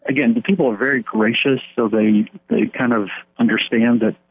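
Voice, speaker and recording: Husky-voiced man on a tinny phone line. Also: mid-range voice, steady tone, normal speed. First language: English. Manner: earnest, rambling with fillers and restarts. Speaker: pastor of an English-speaking church abroad. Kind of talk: phone interview.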